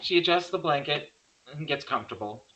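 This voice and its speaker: A man's voice: on the high side, lively intonation, a little fast, sharp tone, highs cut off. A man reading out screenplay stage directions as a narrator.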